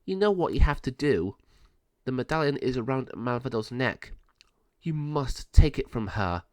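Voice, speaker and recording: A man's voice: medium pitch, lively intonation, moderate pace, darkish tone, no echo, close to an everyday voice. A man voicing an earnest, protective sorceress who speaks firmly and urgently. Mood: solemn. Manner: firm, urgent. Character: earnest wise sorceress, protective elder sister.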